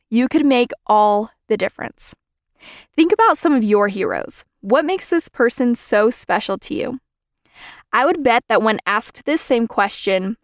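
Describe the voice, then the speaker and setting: A young woman hosting a podcast, giving an earnest, measured scripted talk on faith and belonging. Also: on the high side, no room sound, studio quality. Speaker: podcast host.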